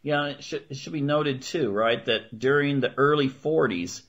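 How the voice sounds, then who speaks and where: A man's voice: medium pitch, normal speed, fairly bright tone. A man hosting an interview, chiming in with brief affirmations and follow-up remarks. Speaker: interview host. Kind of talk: conversational interview. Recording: dull lo-fi sound.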